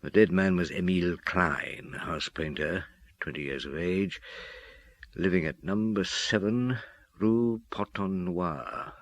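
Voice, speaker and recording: A brooding detective in a radio drama. His voice sits low, and he speaks as a methodical investigator, both telling the story and questioning those he meets. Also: unhurried, no echo. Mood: quiet, absorbed. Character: male police chief inspector, brooding and methodical investigator. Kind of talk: first-person radio drama narration and dialogue.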